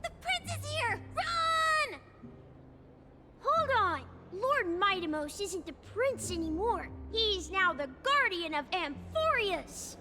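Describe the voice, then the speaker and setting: A woman voicing an excited small child in a video game, calling out loudly. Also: very high voice, takes it slowly, background noise, exaggerated voice.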